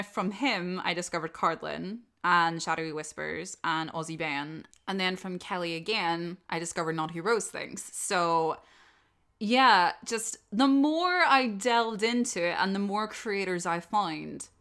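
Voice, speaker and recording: A woman with a bright, crisp voice that sits a little low. She talks casually and expressively, like a creator chatting with followers, with a self-deprecating streak. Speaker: audio roleplay creator and host. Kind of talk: casual subscriber Q&A, chatty talk.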